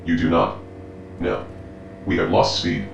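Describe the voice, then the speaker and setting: A man playing a stiff, literal-minded, bluntly determined machine bodyguard in an audio drama, speaking in formal deadpan. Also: sits low, a little slow, gravelly, roomy sound, very noisy, exaggerated voice. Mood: stern, determined. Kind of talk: scripted audio drama acting.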